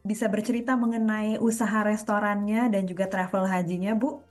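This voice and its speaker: A woman's voice: medium pitch, fairly even intonation, brisk, a little mellow, no echo, soft background music. A woman presenting and interviewing as a broadcast host.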